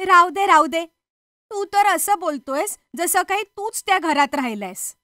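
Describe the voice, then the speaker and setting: An actress playing an excitable, sceptical young woman with a teasing, questioning manner in a horror story. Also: extremely high pitch, normal speed, no room sound, studio quality, exaggerated voice. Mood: teasing, curious.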